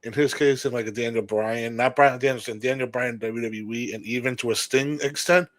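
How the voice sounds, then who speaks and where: A man's voice: mid-range voice, fairly even intonation, fairly quick, fairly bright tone, no echo. A man co-hosting a podcast, giving casual, opinionated commentary on wrestling. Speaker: podcast co-host.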